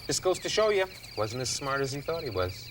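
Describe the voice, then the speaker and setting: Man, casual chat, very varied pitch, distant recording, faint insect ambience. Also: big pitch swings, a little fast. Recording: distant mic, outdoor night insect noise.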